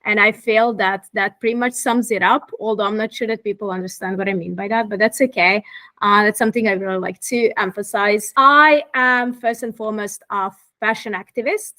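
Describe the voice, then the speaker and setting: A woman's voice: medium pitch, fairly quick, pushed and bright. A woman in her thirties from Croatia, a fashion activist talking as a guest in a thoughtful, articulate way. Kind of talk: interview conversation.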